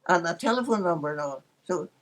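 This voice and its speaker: A woman's voice: fairly low pitch, lively intonation, quick pace. Elderly Northern Irish woman telling life stories. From Northern Ireland. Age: 80s. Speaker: interviewee recalling her life. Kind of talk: casual reminiscence, storytelling.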